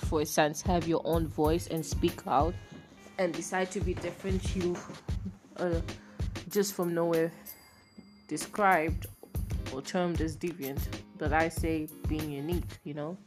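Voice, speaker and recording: A woman's voice: sits low, steady tone, a little slow, over music. A young woman from Tanzania with a Tanzanian accent, hosting a casual opinion monologue in an emphatic, opinionated way. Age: young adult. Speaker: podcast host.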